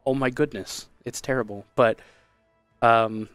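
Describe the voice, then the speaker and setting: Man, thirties, hammy gameplay chat, dry close sound, mid pitch. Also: fairly even intonation, unhurried, no room sound, faint music behind. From Texas, USA. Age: 30s. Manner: playful, hammy, breaks into loud exaggerated bits. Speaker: streamer co-host. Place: small home room.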